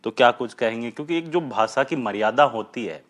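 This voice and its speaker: A man's voice: medium pitch, expressive, fairly quick, a bit bright. A man working as a field reporter, putting questions and summing up in spoken news style.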